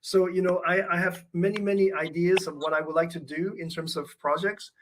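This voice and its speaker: A man's voice: high-pitched, steady tone, brisk, slight background noise, rough recording. A man being interviewed about his art, speaking in a thoughtful, reflective way with frequent fillers and restarts. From Canada. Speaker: artist interviewee. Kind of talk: conversational interview.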